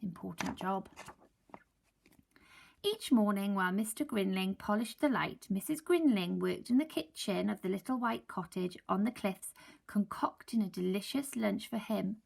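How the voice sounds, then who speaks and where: A woman primary school teacher reads a picture book aloud to her class. She pauses for gentle, soft asides to ask the children questions. Her voice has a light gravelly texture. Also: mid-range voice.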